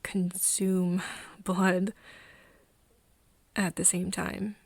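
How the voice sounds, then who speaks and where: A woman playing a nervous, tender young friend of a vampire in an ASMR roleplay, speaking softly and hesitantly, often slipping into a whisper. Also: lowish voice, completely flat delivery, dry recording, heavily acted. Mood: hesitant, anxious. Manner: hesitant, halting, breathy whispering mixed with soft speech. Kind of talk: ASMR roleplay, intimate one-sided dialogue to listener.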